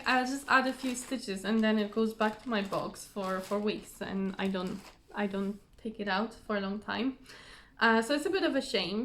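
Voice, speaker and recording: A woman's voice: fairly high pitch, fairly bright tone, reverberant. A woman losing her voice from covid, chatting casually and rambling with many ums as she shows her stitching progress. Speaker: cross stitch vlogger.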